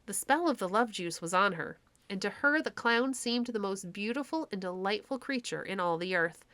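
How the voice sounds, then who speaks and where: A woman's voice: medium pitch, expressive, normal speed, fairly bright tone, no echo. A woman narrating a classic children's story in a scripted read-aloud style.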